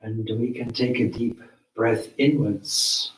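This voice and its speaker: A man leading a guided meditation as a teacher, with measured, deliberate phrasing and long pauses. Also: mid-range voice, fairly even intonation. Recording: roomy, echoing space.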